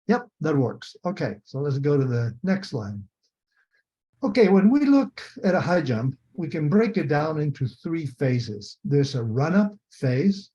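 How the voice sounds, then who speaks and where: A muffled video-call recording of an older man with a Spanish accent. His voice is mellow and a little high for a man. He explains like a methodical professor, restating each key point. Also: breathy edge. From Spain. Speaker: retired biomechanics professor, lecturer. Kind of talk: explanatory online lecture.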